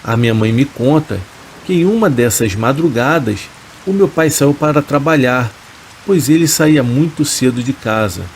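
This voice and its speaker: A man reading a first-person horror story aloud in a slow, calm, measured way, as a storytelling narrator. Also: medium pitch, slight background noise. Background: rain sounds.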